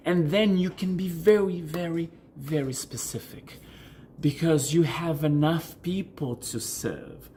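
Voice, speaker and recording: A man's voice: fairly high pitch, unhurried, warm tone, slight background noise. A man whose native language is Portuguese, speaking as a coach and video host in an informal explanatory style, repeating and rephrasing words for emphasis. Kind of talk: informal explanatory talk to camera answering questions.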